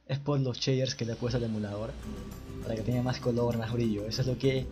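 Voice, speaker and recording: A man's voice: mid-range voice, steady tone, fast, darkish tone. A young man giving casual, offhand commentary as a video game streamer. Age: young adult. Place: small bedroom at home, indoors. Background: game music.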